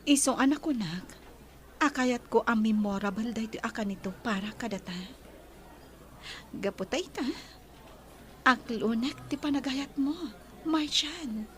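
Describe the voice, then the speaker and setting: A woman playing a gentle, earnest young woman in an Ilocano radio drama, pleading softly with an Ilocano accent and breathy voice. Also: fairly high pitch, measured pace, long pauses, slight background noise. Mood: tender.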